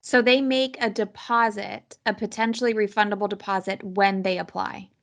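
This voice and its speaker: A woman's voice: mid-range voice, flat delivery, moderate pace. A woman hosting a podcast interview, casually asking short clarifying questions. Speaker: podcast host.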